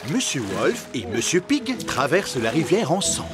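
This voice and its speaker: A woman narrating a French children's cartoon in a calm, scripted storybook style. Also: low voice, moderate pace, over music. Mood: storytelling.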